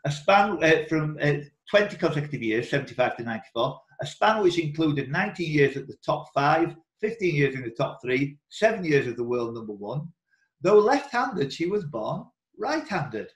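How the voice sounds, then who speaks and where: A man's voice: on the high side, sing-song, moderate pace. A man hosting a live quiz, reading out questions in an indoor room on an online group call. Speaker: quiz host and question reader. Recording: roomy, echoing online call audio.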